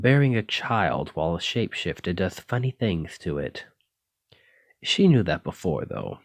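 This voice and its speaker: A man's voice: on the low side, no room sound, exaggerated voice. A man playing a flirtatious, slightly possessive dragon-blooded librarian in an intimate, teasing ASMR romance roleplay. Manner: intimate, teasing, uses pet names.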